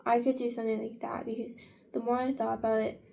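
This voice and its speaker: A woman's voice: fairly high pitch, little pitch movement, fast, creaky, dark tone. A young woman in her late teens chatting casually in a get-ready-with-me vlog, rambling with many fillers and restarts. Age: young adult. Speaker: vlogger.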